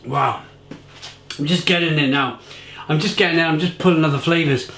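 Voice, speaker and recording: Excitable man reviewing beer, echoey room. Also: a little high, normal speed, creaky, slight background noise. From England. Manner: enthusiastic, rambling, repeats himself. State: slightly croaky. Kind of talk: casual tasting review to camera.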